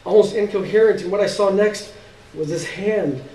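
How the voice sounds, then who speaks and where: A man giving an expressive live reading of a literary story, with dramatic, halting pauses. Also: high-pitched. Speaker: story reader. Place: live stage reading indoors. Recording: roomy, distant stage recording.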